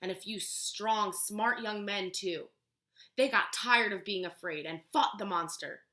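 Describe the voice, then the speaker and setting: Bright-voiced woman reading to children. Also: medium pitch, unhurried. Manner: expressive, animated storytelling. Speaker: preschool teacher. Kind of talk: children's read-aloud. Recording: echoey room.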